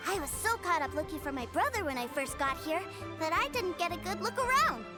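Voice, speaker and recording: A woman's voice: extremely high pitch, very animated, brisk. A woman voicing an innocent, frank, fussy little rich boy in a video game, with a childlike voice. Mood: wistful. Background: game music.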